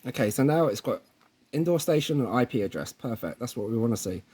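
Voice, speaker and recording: A man's voice: mid-range voice, lively intonation, fairly quick, darkish tone, slightly rough. A man presenting a casual hands-on tech tutorial in an informal way, often checking in with the viewer. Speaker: presenter of installation tutorial videos.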